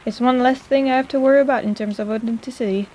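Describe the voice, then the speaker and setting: A woman's voice: a little high, fairly even intonation, fast talker, darkish tone, close to an everyday voice, poor audio. A woman playing a sarcastic but caring apothecary in an intimate personal-attention audio roleplay, with a playful, chiding manner. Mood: sardonic, teasing.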